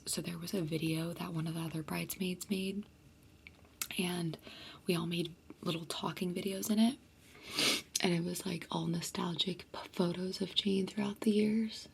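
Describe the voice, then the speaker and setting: Whispering woman with a gravelly low voice. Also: level tone, normal speed, slight background noise. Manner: chatty, many fillers. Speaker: vlogger. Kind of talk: casual storytime to viewers.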